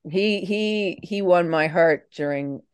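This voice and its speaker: A woman's voice: fairly low pitch, slow. A woman, an actress guesting on a comedy podcast, chatting casually and jokingly.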